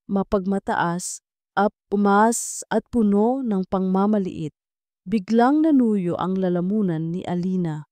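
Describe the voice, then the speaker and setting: Mellow woman narrating a romantic drama. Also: mid-range voice, no echo. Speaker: storyteller.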